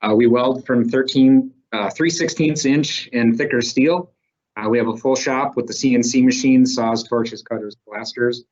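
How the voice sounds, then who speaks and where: A man's voice: mid-range voice, fairly even intonation, normal speed, darkish tone. Matter-of-fact man recruiter presenting slides. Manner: plain, matter-of-fact, some fillers. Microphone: video call. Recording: muffled video call audio.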